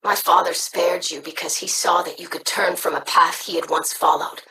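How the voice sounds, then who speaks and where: An earnest young alien diplomat in a video game, formal and dignified. Her voice is bright and clear in tone, heard a little off-mic with faint noise. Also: lowish voice, steady tone, moderate pace, far from the mic, low-quality recording.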